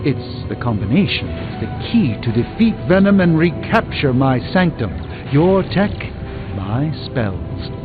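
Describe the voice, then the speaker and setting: A man's voice: mid-range voice, big pitch swings, unhurried. A man playing a proud, erudite sorcerer superhero in a video game, speaking formally with dry wit. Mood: confident, grave. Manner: formal, wordy, dryly witty. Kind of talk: video game character dialogue. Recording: muffled game capture with music behind.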